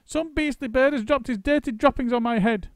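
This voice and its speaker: A man voicing a spiteful, hysterical nasty old woman in a shrill screaming falsetto. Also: brisk, no echo, clean studio sound. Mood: shrieking.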